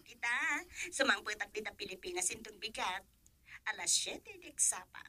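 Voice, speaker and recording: An actress playing an eager, bubbly young woman in a radio drama, gushing and animated. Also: mid-range voice, moderate pace, husky, no echo, full character voice.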